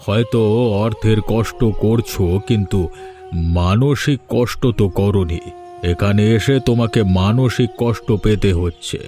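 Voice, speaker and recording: A man voicing a lonely older father-in-law in a cartoon story, speaking gently and wearily. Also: on the low side, a little slow, faint music behind, natural voice. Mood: gentle. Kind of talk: cartoon voice acting.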